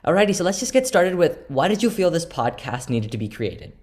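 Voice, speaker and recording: A man's voice: fairly high pitch, very animated, a little fast, a little hiss or hum. A male interviewer politely delivering prepared introductions and questions in a formal manner.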